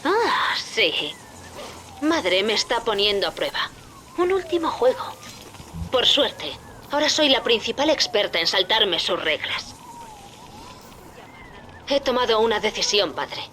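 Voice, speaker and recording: A woman's voice: on the high side, sing-song, measured pace, long pauses, bright, forceful tone, slight background noise, full character voice. A woman playing a devoted, determined young daughter who guides her father in a video game, speaking earnestly and urgently. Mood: resolute. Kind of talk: dramatic video game acting.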